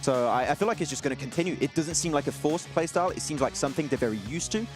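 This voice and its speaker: Rapid enthusiastic male esports analyst. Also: a little high, music in the background.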